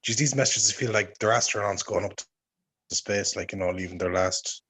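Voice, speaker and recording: A man chatting casually, animated and saying "like" often. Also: on the low side, moderate pace, breathy edge. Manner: animated, chatty, peppers speech with "like". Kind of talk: casual chat.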